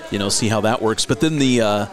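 A man's voice: on the low side, fairly quick. A man in his forties hosting a casual interview, curious and chatty, laughing easily as he talks. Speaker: host. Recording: clear music behind.

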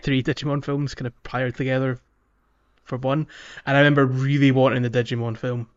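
Dull lo-fi sound, man, mid-pitched voice, casual podcast co-host chat. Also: flat delivery, fairly quick. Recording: dull, lo-fi voice audio.

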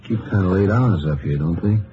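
Folksy lawman sidekick, lowish voice, casual, radio western, muffled and heavily noisy. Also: lively intonation, brisk. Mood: easygoing, alert. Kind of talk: old-time radio western drama acting.

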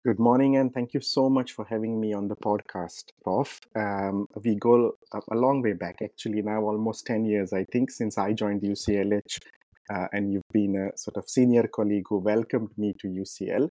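A man from India, a clinician answering interview questions in a measured way, with frequent ums and uhs. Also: lowish voice, steady tone, warm tone, dry recording, poor audio. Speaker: clinician guest.

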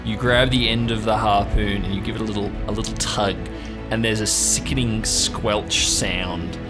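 Low-voiced man narrating over music. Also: steady tone, moderate pace. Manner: vivid, descriptive storytelling. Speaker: game master. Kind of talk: improvised narration of tabletop role-play adventure. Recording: music playing behind the voice.

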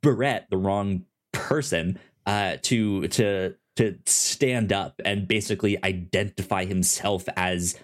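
Low-voiced man, halting and stammering. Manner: halting, stammering with repeated syllables. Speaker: podcast co-host. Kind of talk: casual TV review discussion.

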